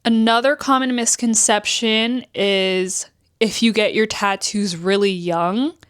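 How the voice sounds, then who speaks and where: A woman's voice: fairly even intonation, slow pace, fairly bright tone, clean tone. A woman in her twenties from New Jersey talking candidly to camera in a casual vlog. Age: 20s. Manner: chatty, candid, acts out quotes with pitch swings. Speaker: vlogger.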